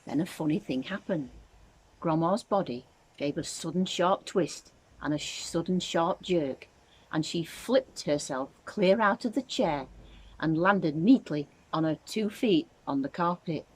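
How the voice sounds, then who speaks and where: Woman teacher reading a kids book out loud, lowish voice, expressive storytelling. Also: unhurried, slightly rough, rough recording. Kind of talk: expressive children's story narration.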